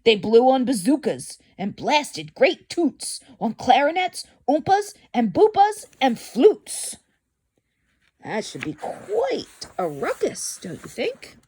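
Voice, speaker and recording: A woman's voice: high voice, huge pitch swings, slow, a little mellow, breathy edge. A woman reading a children's story aloud expressively, dramatic in delivery and addressing young listeners.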